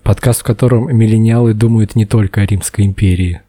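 Male co-host, 30, teasing casual banter; mellow, slightly raspy tone. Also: fairly low pitch, steady tone, fairly quick, no echo. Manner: joking, teasing. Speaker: podcast co-host. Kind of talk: casual banter among co-hosts.

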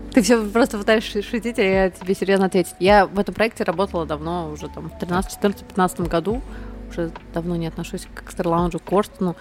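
A woman's voice: fairly low pitch, fairly even intonation, quick pace, soft background music. A young woman guest on a podcast, talking casually in an earnest, slightly hesitant way. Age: young adult. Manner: earnest, a bit hesitant, self-described shy. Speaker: guest, city guide blogger.

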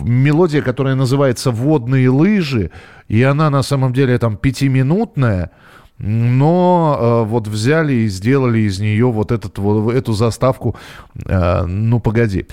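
Male call-in show host: conversational, informal, medium-pitched, musing between remarks. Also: a little mellow, smooth. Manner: conversational, chatty, musing aloud. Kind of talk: casual live call-in chat.

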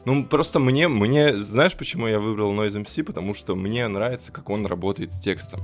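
A man's voice: lowish voice, fairly quick. A man co-hosting a casual discussion, hesitantly searching for his words. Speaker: podcast co-host. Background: music.

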